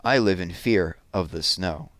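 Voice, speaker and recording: A man's voice: deep voice, a little slow, fairly bright tone, dry recording. A man voicing a fearful old bear in plaintive rhyming verse from a children's poem.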